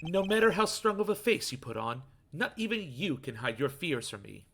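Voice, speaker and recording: A man's voice: fairly high pitch, very animated, normal speed, creaky, noisy background. Man voicing a formal, theatrical video game prosecutor. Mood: confident. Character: poised, confident male prosecutor in a mystery game, arguing his case. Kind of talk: fan dub of a visual novel game. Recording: music behind the voice.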